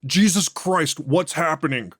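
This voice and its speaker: A man's voice: medium pitch, a little slow, a bit bright, no room sound, clean studio sound. A man voicing a foul-mouthed male prisoner who answers his interrogator in an agitated, profane way. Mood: panicked.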